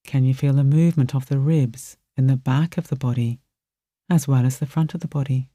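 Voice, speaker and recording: A meditation guide narrating a relaxation exercise in a gentle, soothing manner with soft, spacious phrasing. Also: medium pitch, steady tone, brisk, no echo, studio quality.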